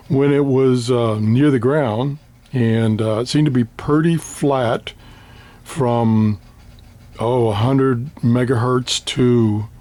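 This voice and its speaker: An older man, slightly hoarse, casually showing his radio antenna and its paperwork with many fillers and restarts. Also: mid-range voice, a little mellow. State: slightly hoarse, clearing throat. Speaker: ham radio hobbyist vlogger. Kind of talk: casual show-and-tell.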